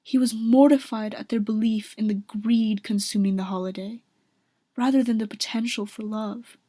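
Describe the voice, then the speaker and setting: A woman's voice: a little high, steady tone, moderate pace, warm tone, no echo, close to an everyday voice. A woman narrating a scripted radio drama as an earnest storyteller.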